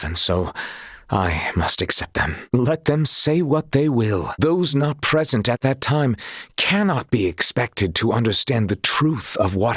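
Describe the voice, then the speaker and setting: An earnest young noble sailor from a fantasy video game. His lowish, husky voice moves through wide, expressive swings as he speaks in formal, courteous, archaic phrasing. The sound is close and dry. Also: dry recording, full character voice. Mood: resolute, grave.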